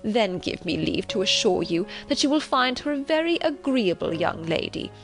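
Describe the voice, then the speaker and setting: A woman voicing a fussy, gentle old gentleman who talks on courteously and fretfully in an audiobook. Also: mid-range voice, big pitch swings, moderate pace, voice pushed up. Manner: courteous, fretful, long-winded. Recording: relaxing music behind the voice.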